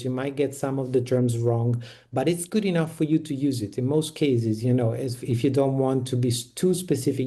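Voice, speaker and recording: Guy on a video call, warm low-key tone, explains step by step, restarts a lot. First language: Italian. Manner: practical, explanatory, frequent restarts. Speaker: panelist on community toolkit. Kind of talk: online meeting talk.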